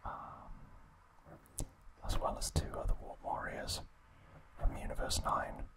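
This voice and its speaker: A man whispering a trivia recap as an ASMR host, in breathy, unvoiced speech broken into short, deliberate phrases.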